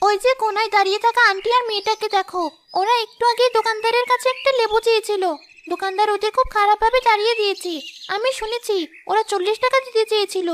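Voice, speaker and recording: A woman's voice: very high-pitched, fairly even intonation, quick pace, a bit bright, a little hiss or hum. A woman voicing a kind, thoughtful little rich girl in a cartoon, speaking earnestly. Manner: earnest, pleading. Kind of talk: cartoon voice acting.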